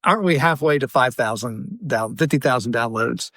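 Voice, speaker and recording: A man's voice: medium pitch, normal speed, fairly bright tone, dry recording, clean studio sound. A man co-hosting a casual podcast in an agreeable, joking conversational manner. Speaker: podcast co-host. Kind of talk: casual chat.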